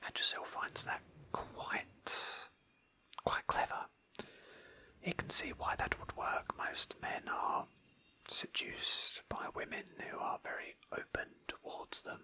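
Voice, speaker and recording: A woman whispering breathily in a hushed, thoughtful ASMR style as she narrates a roleplay game playthrough as its host. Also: slow.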